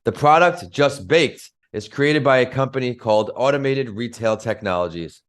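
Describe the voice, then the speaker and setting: A man's voice: mid-range voice, lively intonation, moderate pace. A man hosting a business podcast, reading upbeat scripted introductions and bringing on guests. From northern United States. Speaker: podcast host.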